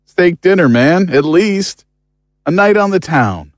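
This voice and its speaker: A man's voice: sits high, lively intonation, slow, no room sound, studio quality. A man playing a blunt, informal private investigator, an ordinary world-weary guy, in a naturalistic audio drama. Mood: upbeat, celebratory. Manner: blunt, informal, matter-of-fact.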